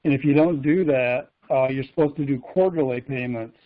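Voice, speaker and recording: A man calling in to a conference call, asking halting questions with frequent "uh". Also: mid-range voice. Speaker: caller. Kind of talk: casual conversation. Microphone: phone. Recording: tinny phone line.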